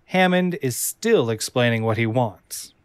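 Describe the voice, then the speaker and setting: A man's voice: mid-range voice, animated, measured pace, smooth, no room sound. A man narrating a scripted audio drama, reading screenplay action.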